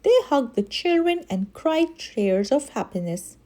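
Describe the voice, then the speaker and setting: A woman reading a children's story aloud in an expressive way, with animated, dramatic emphasis. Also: high-pitched, unhurried. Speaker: children's story reader and channel host.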